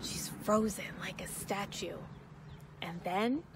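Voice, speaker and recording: A woman reading a children's story aloud with clear noise and clicks behind her. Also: medium pitch, slow pace.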